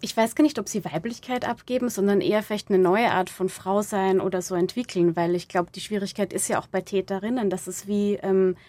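A woman answering interview questions reflectively, haltingly searching for words and correcting herself as she goes. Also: medium pitch, fairly even intonation, dark tone, no room sound. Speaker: interviewed playwright discussing own audio drama.

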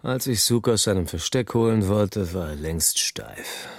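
A hard-boiled crook telling his own story in a radio thriller. The voice is low and husky, recorded very dry. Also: lively intonation, unhurried, no echo. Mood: grim. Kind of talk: noir first-person narration.

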